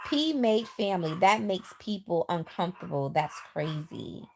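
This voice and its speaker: A woman's voice: on the low side, moderate pace, vocal fry, a little hiss or hum. Middle-aged bronx lady w a bit of southern drawl, chatty livestream talk, reading comments out. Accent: Bronx New York with some Southern. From the Bronx, New York. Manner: chatty, lively, talks straight to viewers. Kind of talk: casual livestream chat. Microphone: phone.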